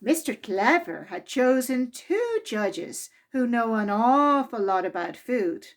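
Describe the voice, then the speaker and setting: High-pitched woman reading a children's story slowly. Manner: deliberate, expressive reading aimed at young children. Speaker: library storytime reader.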